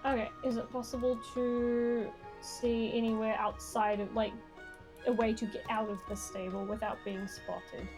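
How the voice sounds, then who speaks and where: High-voiced woman, casual player, music behind. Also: flat delivery, normal speed. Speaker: player in a tabletop game. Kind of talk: casual game chat.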